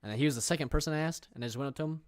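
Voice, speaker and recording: A man's voice: on the high side, rapid-fire, dry recording, clean studio sound. Rambling young man chatting on stream. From England. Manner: rambling, many fillers and restarts, reads viewer questions aloud. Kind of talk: casual livestream chat. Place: room.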